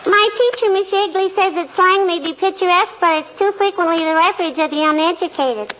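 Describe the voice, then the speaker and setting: A woman's voice: very high-pitched, a little fast. A woman playing a pert, precocious little schoolgirl who talks childishly in a 1940s radio comedy. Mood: chirpy, innocent. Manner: childish, tagging remarks with a catchphrase. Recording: muffled vintage radio recording, noisy, studio audience.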